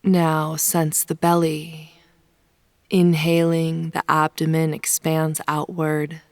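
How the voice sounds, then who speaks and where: A woman leading a guided yoga nidra meditation in a soft, soothing, unhurried manner, with phrase ends sometimes hushed. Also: low voice, monotone, no echo, clean studio sound. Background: soft pink noise.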